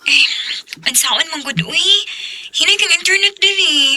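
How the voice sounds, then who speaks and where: Casting: sweet teasing Cebuano sweetheart, tiny sky-high bright voice, radio drama romance. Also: female voice, measured pace, heavily acted. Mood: coy, pouting. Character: young girlfriend, coy, sweet, teasing.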